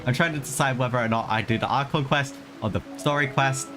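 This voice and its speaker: A man's voice: mid-range voice, quick pace. A man doing casual livestream game commentary, hesitant, thinking aloud and repeating himself. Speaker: game streamer. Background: game music.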